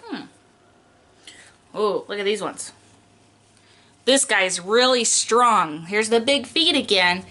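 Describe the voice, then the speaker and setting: A woman's voice: medium pitch, animated, slow, long pauses, pushed and bright. A young adult woman, a preschool teacher doing a picture book read-aloud in an exaggerated, child-directed way, stretching key words.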